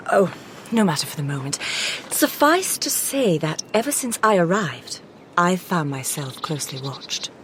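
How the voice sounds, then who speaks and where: An actress in a Victorian radio drama playing a shrewd, composed lady detective with dry wit, measured and crisp, dropping into low confiding asides. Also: very animated, a little hiss or hum.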